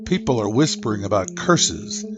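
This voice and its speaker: A woman voicing a sly, ambitious man whose low, smooth tone teases and probes. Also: sing-song, normal speed, over music. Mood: probing. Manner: low, silky, teasing. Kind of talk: audiobook-style character voicing.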